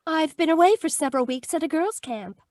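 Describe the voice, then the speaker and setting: A woman's voice: high voice, lively intonation, brisk, darkish tone, no room sound, clean studio sound. A woman playing a ditzy, fretful, prim schoolteacher in a 1940s-style radio mystery. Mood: prim, earnest. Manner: flighty, proper. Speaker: actor in a radio play. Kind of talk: 1940s detective radio acting.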